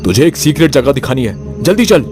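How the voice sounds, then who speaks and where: A man's voice: medium pitch, sing-song, fairly quick, music in the background, voice pushed up, close to an everyday voice. A man voicing a sly demon that poses as a friendly young man in a horror story, coaxing and pushy.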